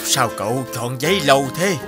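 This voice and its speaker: A man's voice: medium pitch, expressive, normal speed, lightly acted. A man voicing an older male farmer in a cartoon, plain-spoken, curious and blunt. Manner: blunt, folksy. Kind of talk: cartoon dubbing. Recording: music behind the voice.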